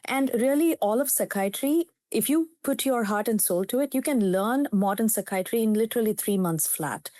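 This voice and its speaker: A woman's voice: a little high, expressive, normal speed, few pauses, dark tone, dry recording, clean studio sound. A woman in her fifties from India, a native Telugu speaker with an Indian English accent, telling her story as a psychiatrist guest in an interview in an earnest, reflective way.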